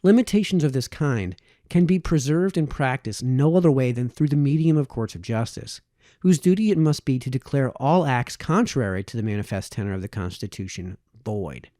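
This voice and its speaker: A man reading a formal historical text aloud in a measured way with emphatic phrasing. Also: medium pitch, dark tone, no room sound, studio quality. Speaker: reader, narrator.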